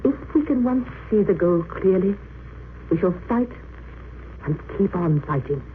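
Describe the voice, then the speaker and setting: A woman's voice: mid-range voice, expressive, a little slow, natural voice. A condemned woman prisoner, played by an actress, composed and resolute, speaks in earnest, oratorical phrases. It is old-time radio drama acting, in a thin narrow-band recording with faint noise. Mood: fervent, resolute. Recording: muffled, noisy vintage radio recording.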